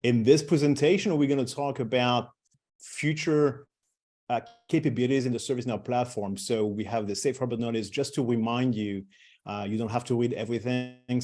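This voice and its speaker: A man's voice: mid-range voice, mellow. A middle-aged man presenting a webinar, talking loosely with many fillers and restarts.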